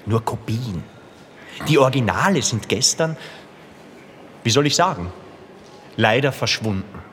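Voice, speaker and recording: A man's voice: medium pitch, expressive, unhurried, fairly bright tone, a little hiss or hum. A man playing a mannered, patronising and hesitant museum guide giving a tour in a children's radio play. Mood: patronising, evasive. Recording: echoey gallery hall, background ambience.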